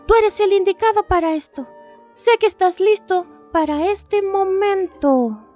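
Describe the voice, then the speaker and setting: A woman's voice: very high-pitched, a little slow, mellow, pitched above the performer's own voice. A woman with a Chilean accent voicing a kind, encouraging female reindeer in a radio play. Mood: confident, encouraging. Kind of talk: radio play character acting. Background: music.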